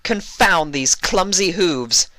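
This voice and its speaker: A man's voice: sits high, animated, slow pace, pushed and bright, dry recording, voice pushed up, heavily acted. A man voicing a sulky, frustrated young pony princess in a storybook reading, whiny and dramatic.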